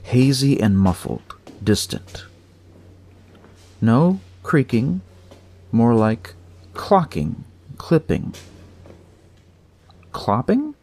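Slow, expressive male horror narrator. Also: mid-range voice. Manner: deliberate, suspenseful, short phrases. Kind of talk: dramatic horror audiobook narration.

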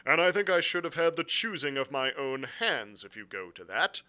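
Man putting on a forceful, blunt sea captain. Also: mid-range voice, big pitch swings, normal speed, pitched above the performer's own voice. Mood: blunt, disapproving. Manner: forceful, clipped, plain-spoken. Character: blunt, stern, stubborn male ship's captain.

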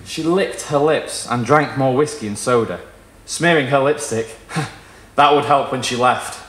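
A young man giving an expressive, theatrical live reading of literary fiction. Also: mid-range voice, unhurried. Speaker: story reader. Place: small indoor performance room with wooden wall. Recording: roomy live venue sound.

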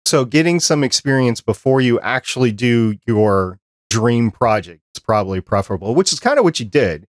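Male podcast host, fifties, conversational interviewer, mid-pitched, dry close-mic sound. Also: lively intonation, moderate pace, no room sound. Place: small home office, indoors. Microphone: close desk microphone on a video call.

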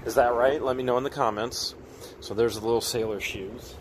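A man's voice: mid-range voice, fairly even intonation, a little fast, a crowd in the background. A man hosting a casual unboxing vlog, exclaiming and repeating himself as he talks directly to viewers. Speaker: vlog host.

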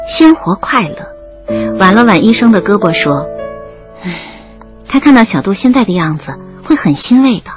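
An actress playing a young woman student who narrates her love story in an audio drama, expressive and playfully wry. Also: medium pitch, lo-fi sound, close to an everyday voice. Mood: gentle, fond.

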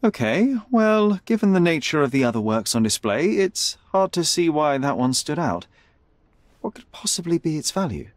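A young, analytical detective for a game cutscene. He speaks politely and coolly in a slightly high voice with plenty of movement in pitch. The sound is dry and close. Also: sing-song, moderate pace, no echo. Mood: polite, probing. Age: young adult. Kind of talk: video game cutscene acting.

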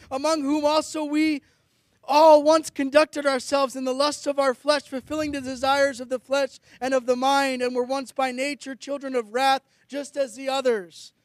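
Very high-pitched male preacher. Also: dry recording. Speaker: pastor. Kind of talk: sermon with scripture readings. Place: outdoors on a high ledge in a blizzard. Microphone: handheld or stand microphone close to the mouth.

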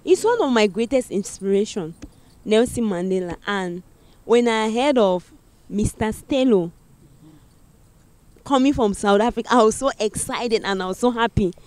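A woman in her forties from Cameroon hosting a talk show, effusive and full of excitement, speaking into a handheld mic outdoors in a park. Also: medium pitch, normal speed, dry recording. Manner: effusive, repeats excitement, laughs often. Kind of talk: enthusiastic interview hosting. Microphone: handheld microphone.

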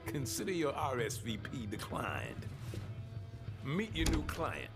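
An American actor playing a shrewd, easygoing older job broker in a video game, smooth-talking and teasing. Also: male voice, mid-range voice, wildly swooping pitch, slow, loud music behind the voice, exaggerated voice. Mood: wry, businesslike. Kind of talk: video game cutscene acting.

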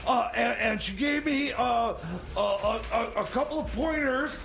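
A man's voice: extremely high pitch, a little slow, creaky, a crowd in the background, full character voice. A man playing a flustered, scheming mechanic and consultant in comedy radio theater, stammering, rambling and interrupting. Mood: agitated, stammering. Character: flustered, scheming time machine mechanic and self-styled consultant. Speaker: comic sidekick on a radio show.

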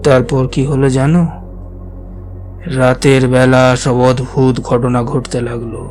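A man's voice: mid-range voice, flat delivery, natural voice. A man playing an ominous, insistent believer in ghosts who tells eerie tales dramatically in a horror audio drama. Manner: slow, suspenseful, dramatic storytelling with rhetorical questions. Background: loud music.

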